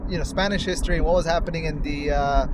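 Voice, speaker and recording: Man, high-pitched voice, questioning, reflective, casual discussion, car and road noise behind. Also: fairly even intonation, fast talker. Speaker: talk show co-host. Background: vehicle and outside traffic noise.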